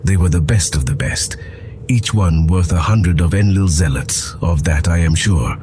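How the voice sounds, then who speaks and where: A man's voice: deep voice, moderate pace, background noise. A man giving grave, intense, theatrical first-person narration as the storyteller of an audio drama. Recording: loud music under the voice. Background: loud music.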